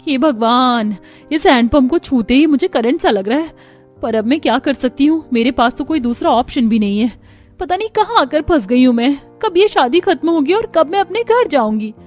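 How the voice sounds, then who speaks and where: A woman's voice: high-pitched, animated, normal speed, darkish tone, soft background music, voice pushed up. A woman voicing a put-upon but plucky young city-bred daughter-in-law in a cartoon story, with dramatic, exclaiming delivery. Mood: grumbling, weary. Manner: exclaiming, self-pitying, dramatic. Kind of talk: Hindi cartoon story dialogue.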